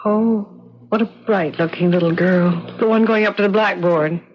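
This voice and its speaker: An actress playing an excitable, anxious American woman in a radio drama, emphatic and sentimental in manner. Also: on the low side, moderate pace, slight background noise, close to an everyday voice. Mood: delighted, curious. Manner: emphatic, fretful, gushing. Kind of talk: mid-century American radio drama acting. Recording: muffled old radio recording.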